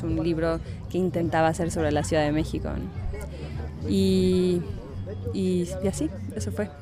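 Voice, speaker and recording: A woman writer with a slightly unusual Mexican Spanish accent, answering interview questions thoughtfully and searching for her words. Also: on the low side.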